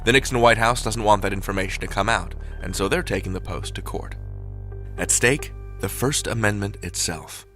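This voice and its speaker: A man's voice: lowish voice, normal speed, a bit bright, soft background music. A man hosting a podcast, reading a scripted introduction with wry, dramatic emphasis. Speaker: podcast host.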